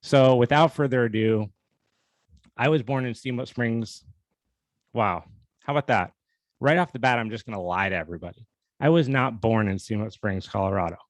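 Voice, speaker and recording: A man's voice: mid-range voice, normal speed, long pauses, no echo. A young man hosting his own podcast, telling a personal story in a candid, self-deprecating, casual way. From USA. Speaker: podcast host.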